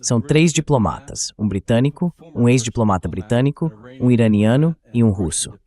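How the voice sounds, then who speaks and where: A man's voice: mid-range voice, animated, fairly quick, warm tone. A man as a talk show host, in a translated conversational interview voice-over.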